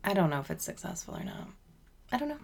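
Young adult female podcast co-host, lower voice, teasing chat. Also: big pitch swings, fast, creaky, darkish tone. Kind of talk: casual chat.

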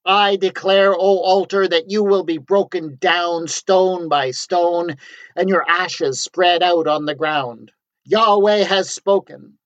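A man voicing a wild-eyed young male prophet, fervent and shrill, who stammers when cornered. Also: steady tone, slow pace, fairly bright tone, no echo. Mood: ranting. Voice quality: shrill, screaming. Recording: dry, dull lo-fi recording.

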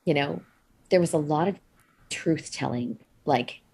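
Husky lady, slightly low voice, punjabi native speaker, chatting casually, halting as she finds words. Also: darkish tone. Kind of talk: casual reflective conversation.

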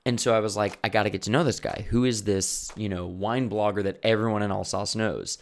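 A man's voice: on the low side, steady tone, brisk. A man from the United States hosting a podcast, laid-back and slangy, leaning on "like" as he chats. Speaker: podcast host. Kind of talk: casual interview chat.

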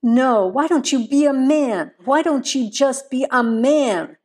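A woman's voice: medium pitch, moderate pace, lightly acted. Woman acting a furious, defiant wife. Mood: screaming, enraged. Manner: screaming, sharp, challenging. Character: furious, defiant wife fighting back at her husband.

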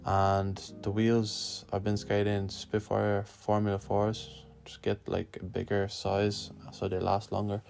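A young man from Lithuania answers questions in a relaxed, slangy way and swears now and then. His voice sits low with a gravelly edge and barely rises or falls. Faint music plays behind him. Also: unhurried, few pauses. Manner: laid-back, swearing, slangy. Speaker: guest skater answering a questionnaire. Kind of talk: casual spoken answers.

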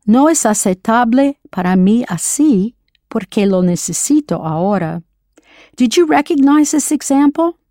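A woman whose first language is English, narrating an audio language lesson in a clear, encouraging way, slowing down to model pronunciation. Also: medium pitch, darkish tone, no echo, clean studio sound. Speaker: language teacher.

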